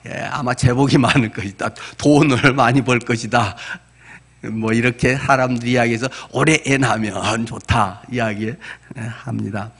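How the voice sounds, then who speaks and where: A man's voice: mid-range voice, steady tone, moderate pace, a bit bright, slightly rough. A man around sixty, a pastor preaching in a conversational, explanatory way with asides and rhetorical questions. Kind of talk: sermon.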